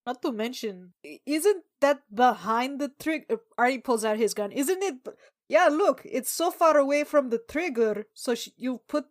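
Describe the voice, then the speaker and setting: A woman improvising comic roleplay banter, giving earnest, halting explanations. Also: high-pitched, lively intonation. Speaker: tabletop roleplayer on a podcast.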